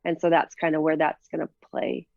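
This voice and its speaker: A woman's voice: sits low, steady tone, a little fast, vocal fry, a little mellow, no echo, highs cut off. A woman from Minnesota with a Minnesota accent, chatting casually in an interview with many fillers and easy laughs. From Minnesota, USA. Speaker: professional disc golfer, guest.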